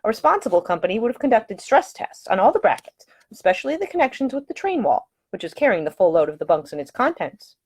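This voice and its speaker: A woman voicing an earnest, brisk young female traveler with a precise, matter-of-fact way of talking, in an audiobook romance. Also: medium pitch, big pitch swings, husky, pitched above the performer's own voice. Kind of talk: acted dialogue in an audiobook romance.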